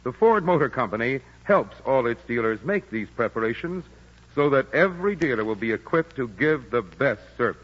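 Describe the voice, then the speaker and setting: A man reads a 1940s radio commercial in a measured, reassuring way. His voice rings with a long reverb on an old broadcast that sounds dull. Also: medium pitch, very animated, slightly rough. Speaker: commercial announcer.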